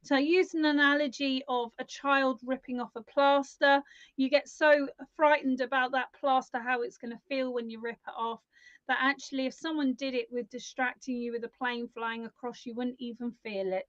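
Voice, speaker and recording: A woman's voice: high voice, fairly bright tone, no room sound. A woman in her forties giving soothing psychic readings on a live stream, calling listeners darling and love. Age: 40s. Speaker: psychic reader, co-host. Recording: dull, dry lo-fi stream audio.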